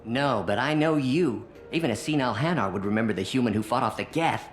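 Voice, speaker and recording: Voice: mid-range voice, lively intonation, brisk, soft background music, natural voice. An actor playing an eager, starstruck alien shopkeeper in a video game, with a gushing sales manner. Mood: excited welcome.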